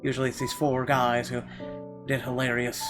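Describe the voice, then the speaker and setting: A man's voice: mid-range voice, completely flat delivery, moderate pace. Man reading aloud over game music. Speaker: game streamer. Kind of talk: let's play, reading narration aloud with asides.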